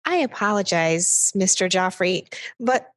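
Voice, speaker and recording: A woman's voice: medium pitch, animated, unhurried, no echo, close to an everyday voice. A woman playing a polite, slightly hesitant chef being interviewed as a guest on a comedy radio show. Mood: politely patient.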